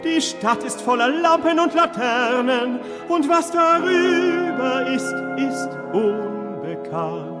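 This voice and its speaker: Ordinary city man doing a stagey chanson, high voice, orchestra blasting behind. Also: expressive, slow pace, hardly any pauses, loud music behind the voice, exaggerated voice. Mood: matter-of-fact, resigned. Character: average city man, plain spokesman of the majority. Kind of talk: theatrical chanson, spoken and sung. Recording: loud orchestra over voice.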